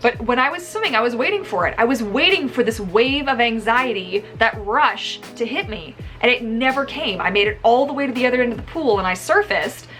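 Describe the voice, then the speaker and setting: A woman in her thirties telling a personal story casually to camera in an animated, emphatic way, recorded in a room at home. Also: on the high side, fairly quick, hardly any pauses, faint music behind. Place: small indoor room at home.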